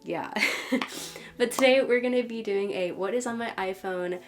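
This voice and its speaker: A woman's voice: a little high, normal speed. Nasal, stuffed-up woman vlogger. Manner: rambling, talkative, self-correcting. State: sick with a cold, stuffy nose. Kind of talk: casual chatty show-and-tell. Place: home, humidifier running.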